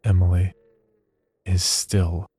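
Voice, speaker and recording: A man acting as a cold, detached psychology researcher, reading a sinister diary in a measured, clinical horror-story narration. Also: deep voice, level tone, a little hiss or hum. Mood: quietly ominous. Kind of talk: horror audio fiction, first-person diary narration. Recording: loud music bed under voice. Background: loud music.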